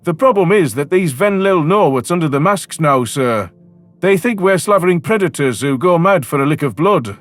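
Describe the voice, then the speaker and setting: Sci-fi story guard acted by a man: higher male voice, wary, officious, by the book, wearily exasperated. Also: lively intonation, normal speed, faint music behind, lightly acted.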